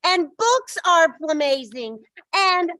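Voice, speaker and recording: A woman presenting at a school event in an excited, theatrical way that builds suspense. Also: extremely high pitch, takes it slowly, dry recording.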